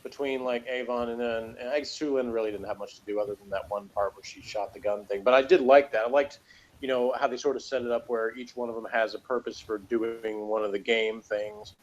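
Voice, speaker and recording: Male reviewer, conversational and argumentative, mid-pitched voice on a dull, low-fidelity recording. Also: steady tone, a little fast. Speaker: podcast reviewer.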